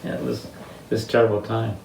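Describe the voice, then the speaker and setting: An elderly man with an American accent reminisces about his life in a halting, reflective way, often searching for words. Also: on the low side, little pitch movement, creaky, a little mellow. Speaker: interviewee sharing life stories. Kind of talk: reminiscing personal storytelling. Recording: roomy, distant mic with faint noise.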